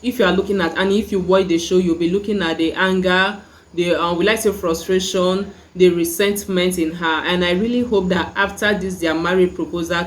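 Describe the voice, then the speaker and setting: A young Nigerian woman with a Nigerian accent, chatting casually in a review vlog, full of "like" and "you know" fillers. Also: on the low side. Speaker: YouTube reviewer.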